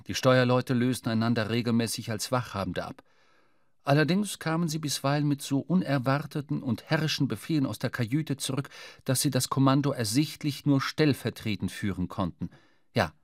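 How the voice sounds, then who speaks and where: An actor playing a sailor who narrates a whaling voyage in a grave, literary radio drama style. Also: male voice, medium pitch, a little mellow, no echo, studio quality. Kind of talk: scripted audio drama narration.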